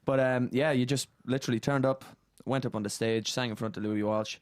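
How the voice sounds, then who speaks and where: A man's voice: medium pitch, steady tone, fairly quick, no echo, clean studio sound. A man in his early twenties with an Irish accent, chatting casually as an interview guest. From Ireland. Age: early 20s. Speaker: boy band singer, interview guest. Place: radio studio. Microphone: studio microphone.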